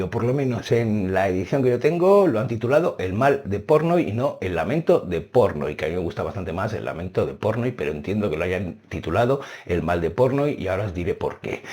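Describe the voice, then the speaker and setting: A man, a native Spanish speaker, casually reviewing books he has read, animated and stressing key words. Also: lowish voice. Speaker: book reviewer.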